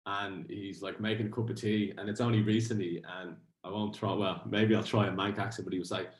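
A man hosting a casual podcast, jokey and quick to laugh, steering the talk along. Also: fairly low pitch, flat delivery. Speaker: podcast host. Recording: roomy echoey sound.

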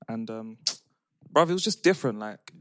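A man's voice: on the low side, normal speed, dry recording. A young man, chatting casually as a podcast co-host and telling stories with lots of slang. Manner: animated storytelling, laughing slang-heavy. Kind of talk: casual chat among friends.

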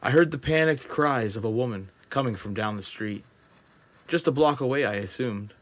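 A man's voice: mid-range voice, moderate pace, close to an everyday voice. Man playing a wary, reflective apocalypse survivor. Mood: detached, observant. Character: wary, detached male apocalypse survivor keeping a journal. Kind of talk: scripted first-person dramatic narration.

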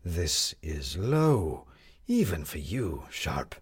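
A man voicing a proud, pompous male schemer in a story, deliberate and emphatic. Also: sits low, very animated, breathy edge, exaggerated voice. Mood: bitter.

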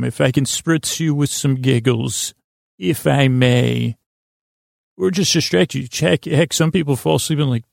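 Man, gravelly creak, medium pitch, dry sound, halting meandering sleep-podcast monologue, goofy self-deprecating asides. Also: darkish tone, dry recording. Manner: halting, stumbling, self-interrupting, circling back on words. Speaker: podcast host.

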